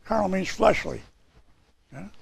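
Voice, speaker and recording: A man in his sixties preaches a sermon in a hoarse, raspy voice. His tone is gravelly and husky and sits on the high side for a man. He reads scripture aloud from his papers in a small church hall. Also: animated, unhurried. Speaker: pastor.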